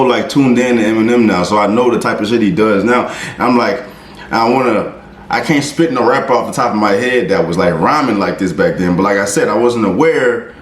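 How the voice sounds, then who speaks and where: Excitable man in an echoey room. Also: mid-range voice, a little hiss or hum. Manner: excited, profane, restarts sentences. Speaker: reaction video host. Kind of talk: casual reaction commentary.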